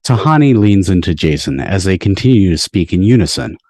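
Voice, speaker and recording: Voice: low-pitched, animated, a little fast, a little mellow, dry recording. A narrator reading stage directions for an amateur table read on a video call.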